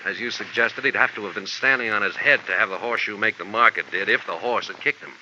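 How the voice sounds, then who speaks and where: A matter-of-fact police lieutenant. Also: male voice, lowish voice, quick pace, pushed and bright. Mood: official. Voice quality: official, report-reading tone. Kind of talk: 1950s radio drama acting. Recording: dull noisy old radio recording.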